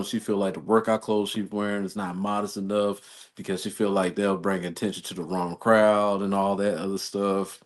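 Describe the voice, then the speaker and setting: An American man in a casual talk-show chat, rambling through a personal story as host. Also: lowish voice. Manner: rambling, storytelling with quoted exchanges.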